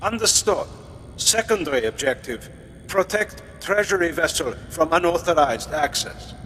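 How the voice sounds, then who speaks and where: An actor voicing a cold, formal executioner droid in a video game, clipped and emotionless. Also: male voice, a little high, expressive, moderate pace, fairly bright tone, big-room sound, slight background noise. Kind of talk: video game character acting.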